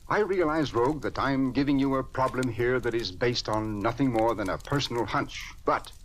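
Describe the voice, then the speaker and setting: A man's voice: medium pitch, moderate pace, fairly bright tone, natural voice. An actor playing an earnest, troubled older male judge with a hesitant, formal manner in a 1940s radio drama. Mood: concerned, earnest. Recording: noisy old radio recording.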